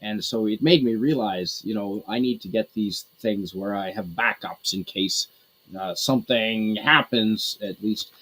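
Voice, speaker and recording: A man from Canada talks on a casual podcast, with a husky, slightly breathy voice. He rambles and hesitates, restarting his sentences and leaning on fillers as he tells his story. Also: on the low side, measured pace, a bit bright, low-quality recording. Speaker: podcast co-host. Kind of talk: casual group podcast chat.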